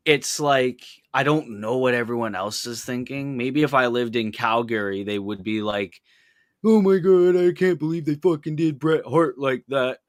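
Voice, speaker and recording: A man's voice: mid-range voice, normal speed, no echo, clean studio sound. A man in his early twenties from Canada, chatting casually as a podcast guest with wry, offhand swearing. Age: early 20s. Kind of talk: casual chat.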